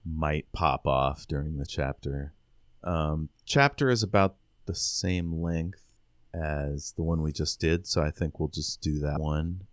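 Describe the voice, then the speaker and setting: A man's voice: a bass voice, a little slow, no room sound, clean studio sound. A man co-hosting a podcast, casually discussing a book and recapping it. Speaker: podcast co-host.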